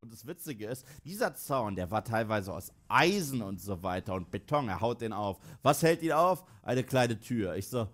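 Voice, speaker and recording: A man's voice: fairly low pitch, very animated, normal speed, few pauses. A man giving a comic film review to camera, incredulous and sarcastic, acting out imagined dialogue.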